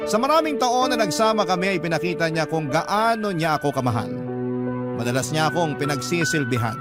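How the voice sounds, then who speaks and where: Male radio-style narrator, slightly high voice, scripted storytelling, loud music underneath. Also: lively intonation, fairly quick. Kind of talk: scripted first-person storytelling, reading a letter.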